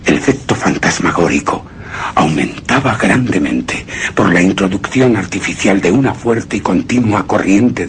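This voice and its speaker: Haunted widower narrator, low voice, solemn gothic radio drama delivery, faint noise behind. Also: male voice, fairly bright tone. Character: brooding, obsessive widower narrating his haunted past.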